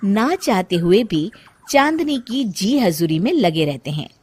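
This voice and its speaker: A woman narrating a cartoon story in an even, scripted storytelling manner. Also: medium pitch, a little slow, husky.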